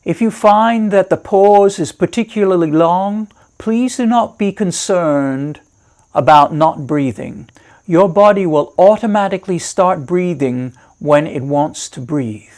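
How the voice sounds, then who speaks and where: A man with a fairly high voice guides a meditation at a very slow pace. He gives measured, instructive directions and leaves deliberate pauses between phrases. His wording is reassuring, as a teacher's would be. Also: a little mellow.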